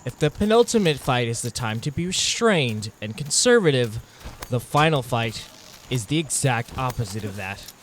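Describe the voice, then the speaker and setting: A man narrating video game play in a theatrical, intense documentary style with deliberate phrasing, speaking as the hunter himself. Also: medium pitch, big pitch swings, a little hiss or hum. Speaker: gaming video narrator playing a monster hunter.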